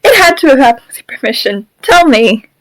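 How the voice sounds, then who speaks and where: An upset young boy, acted by a woman in an audio drama. The voice is high and swings widely as he pleads and accuses. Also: sing-song, brisk, fairly bright tone, poor audio. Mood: distraught, accusing. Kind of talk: fan audio drama acting.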